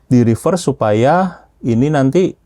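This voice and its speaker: A man's voice: mid-range voice, expressive, a little slow, mellow, no room sound, studio quality. A man casually explaining technical matters as an expert, often checking understanding with short tag questions. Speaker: audio system engineer being interviewed as an expert.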